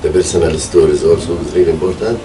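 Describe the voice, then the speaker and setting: A middle-aged man from Palestine with a Palestinian Arabic accent, explaining his activism hesitantly, with many pauses. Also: low-pitched. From Palestine, Jerusalem area. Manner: hesitant, frequent pauses and hedging fillers. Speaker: peace activist, organization co-founder. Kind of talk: explanatory interview answer. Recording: distant mic, faint background noise.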